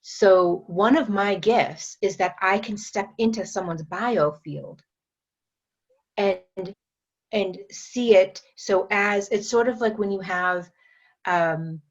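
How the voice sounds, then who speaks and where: A woman guest on a podcast interview, explaining her energy work in an unhurried way, with many fillers and restarts. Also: mid-range voice, muffled recording. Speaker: guest, metaphysician and energy trainer.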